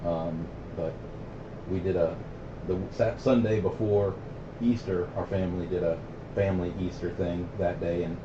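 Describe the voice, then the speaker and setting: A middle-aged American man chatting casually on CB radio, plain-spoken and opinionated, using radio slang. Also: sits low. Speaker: CB radio operator hosting a radio night. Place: CB base radio station. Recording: muffled, noisy, roomy CB radio audio.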